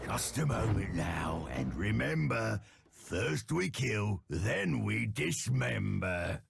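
Low, gravelly, bloodthirsty orc commander. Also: male voice, big pitch swings, slow, heavily acted. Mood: gleeful menace. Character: sly, bloodthirsty male orc commander relishing weapons. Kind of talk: video game character acting. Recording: music behind the voice.

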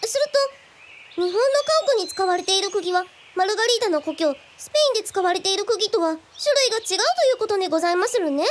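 A woman's voice: very high voice, fast talker. A woman playing a polite, curious Spanish girl genius who speaks in quaint, over-formal archaic phrases in a radio drama. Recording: clear background noise with birdsong.

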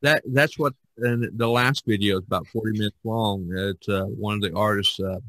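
A man hosting a podcast, talking casually in a rambling, halting way. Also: fairly low pitch, fairly even intonation, fairly bright tone, dry recording. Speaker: podcast host. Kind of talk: casual conversation.

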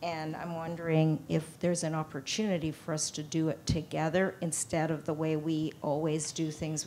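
Brief: woman, deep voice, wry and rambling, faint rumbling noise behind. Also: darkish tone. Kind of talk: audience floor comment.

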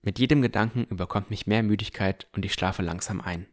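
Low-voiced man narrating fantasy. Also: a little fast. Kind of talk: scripted first-person fantasy narration.

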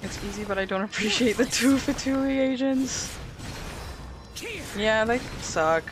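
A woman's voice: on the high side, unhurried. A woman with a slight lisp, streaming a game and chatting playfully with her viewers in a casual way. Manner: chatty, playful, talks to chat. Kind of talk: casual gameplay commentary. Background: faint game music.

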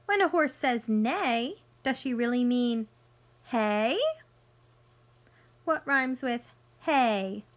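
A woman in her thirties reading a picture book aloud to young children like a teacher, expressive and sing-song, pausing to ask simple questions. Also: a little high.

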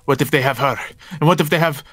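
A man's voice: on the high side, quick pace, fairly bright tone, breathy edge, no room sound, faint music behind. A man playing an anxious, suspicious guild mentor in a game roleplay, with hesitant, formal, theatrical phrasing. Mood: suspicious, urgent. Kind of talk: improvised Minecraft roleplay acting.

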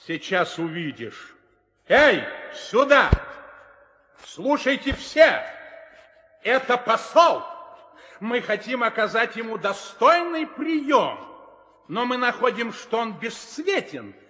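Casting: cruel demon king, imperious, slow and high-pitched, old radio drama with long reverb. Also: sing-song, bright, forceful tone, heavily acted. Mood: gloating, commanding. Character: cruel, commanding male demon king, tyrant. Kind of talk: old radio play acting.

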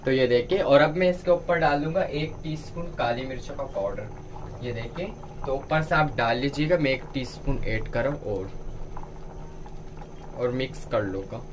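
A man's voice: medium pitch, normal speed, noisy background. A man giving a step-by-step cooking tutorial as a home cook, instructing viewers directly. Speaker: home cook presenting a recipe.

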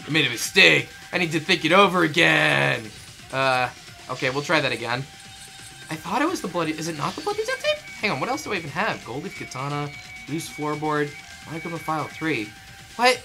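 A man playing a video game, chatting casually and excitably, swearing and muttering to himself. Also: on the high side, a little fast, creaky. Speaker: player of a fan-dubbed video game. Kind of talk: casual gameplay chat mixed with voiced script reading. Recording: game music behind.

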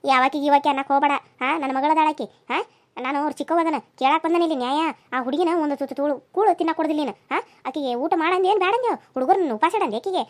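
Woman as protective neighbour, outspoken, indignant, very high voice, fast, North Karnataka accent, melodrama. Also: darkish tone, natural voice. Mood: scolding. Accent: North Karnataka Kannada. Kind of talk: family melodrama.

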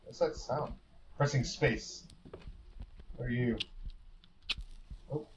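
A man's voice: mid-range voice, steady tone, slow. Muttering gamer man, dull lo-fi mic. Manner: wry, muttering, thinking aloud. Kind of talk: casual let's play commentary. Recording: slightly dull lo-fi mic with faint hiss.

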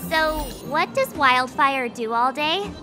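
Perky teenage video game girl, high-pitched, blunt, animated, music behind. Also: takes it slowly. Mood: pert, questioning. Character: perky, pert, outspoken teenage girl adventurer.

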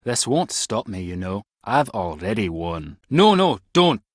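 Brisk, husky, wry spy contact. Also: male voice, sits low, expressive, dry recording, clean studio sound. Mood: taunting, heated. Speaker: game character, spy contact. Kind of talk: video game voice acting.

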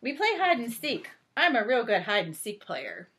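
A middle-aged woman reading a picture book aloud to children as a teacher, expressive and deliberate, with drawn-out emphasis. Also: on the high side, slight background noise. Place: small home bedroom, indoors.

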